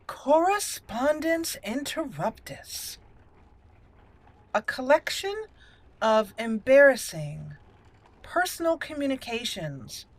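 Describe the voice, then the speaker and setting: A woman hosting a game playthrough, roleplaying aloud with theatrical, deliberate phrasing. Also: fairly high pitch. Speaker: gaming channel host.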